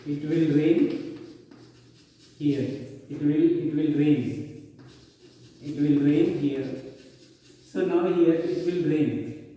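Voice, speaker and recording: A man's voice: on the high side, warm tone. A male teacher with an Indian English accent, explaining grammar step by step and repeating himself often, with many fillers. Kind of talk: classroom grammar lesson. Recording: echoey hall, mic far off.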